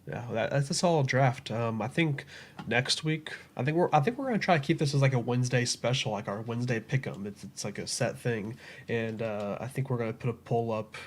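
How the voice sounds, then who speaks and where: A man's voice: mid-range voice, brisk. A man with a mid-range voice co-hosting a casual livestream. He talks in an easygoing way and often restarts and repeats words before he finishes a thought. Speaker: co-host of a crypto livestream.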